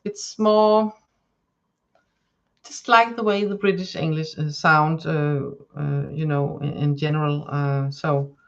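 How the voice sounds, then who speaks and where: A Danish woman with a Danish accent and Danish as her first language, reading tarot cards on a live stream in a rambling, hesitant way with many fillers, giving soft spiritual guidance. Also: sits low, fairly even intonation, slow pace, dull audio. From Denmark. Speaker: tarot reader.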